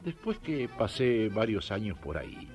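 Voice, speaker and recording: Low, animated man telling his testimony. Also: measured pace, soft background music. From Belgium. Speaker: rural worker giving testimony. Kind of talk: narrated personal testimony.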